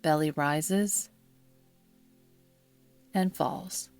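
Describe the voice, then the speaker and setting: A woman guiding a yoga nidra meditation in a soothing, measured manner, leaving long pauses between phrases. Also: low-pitched, darkish tone. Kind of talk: guided meditation narration. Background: background music.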